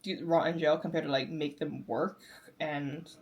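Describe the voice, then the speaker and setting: A woman giving casual live reaction commentary to a series, informal and halting, excitable, often repeating herself. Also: deep voice, dull audio, a crowd in the background. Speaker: reaction video host. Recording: music from the watched show behind.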